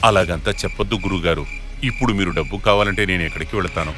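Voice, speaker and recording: A man playing a poor, gentle father in an animated moral story, speaking humbly and earnestly. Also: deep voice, quick pace, lightly acted. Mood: humble, pleading.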